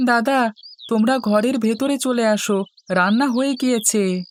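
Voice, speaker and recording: A woman playing a gentle, obedient youngest brother, a meek and polite village boy in a cartoon fairy tale. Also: on the high side, a little slow, a little hiss or hum, close to an everyday voice.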